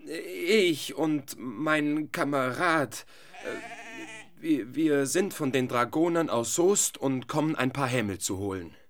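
A man's voice: on the high side, expressive, slow. An actor playing a cowardly, bumbling impostor huntsman in a radio play, stammering, hesitant and groveling. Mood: nervous evasive.

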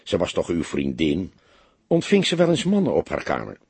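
A man's voice: deep voice, huge pitch swings, gravelly, darkish tone, breathy edge, no echo, voice pushed down, full character voice. Een man met een Nederlands en hese stem speelt in een luisterboek een geduldige, vasthoudende rechercheur die afgemeten, korte vragen stelt. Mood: gently insistent. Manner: short, pointed questions, measured. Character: probing, patient male police detective, calmly insistent.

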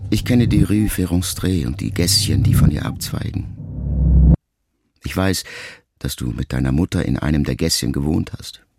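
A man acting a brooding son who addresses his dying mother in a reflective, measured radio-drama monologue. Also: extremely low pitch, vocal fry, over loud music. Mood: pensive, restrained. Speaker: radio play actor. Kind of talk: intimate first-person literary monologue in a radio drama.

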